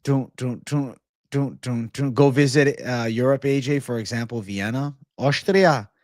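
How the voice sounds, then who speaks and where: Guy streamer just chatting w viewers, swears a lot, says bro, normal pitch, dry sound. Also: measured pace, darkish tone, no room sound. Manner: slangy, profane, bro-heavy banter. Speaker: livestream host. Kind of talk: casual livestream chat, reading and answering viewer comments.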